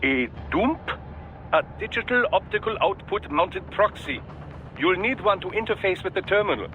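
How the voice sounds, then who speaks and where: High-voiced pedantic tech expert. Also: male voice, expressive, moderate pace, phone-quality sound, faint music behind. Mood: helpful, pedantic. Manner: precise, formal, explanatory. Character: quirky, precise male technical expert, pedantic and helpful. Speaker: technical adviser. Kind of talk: English video game voice acting.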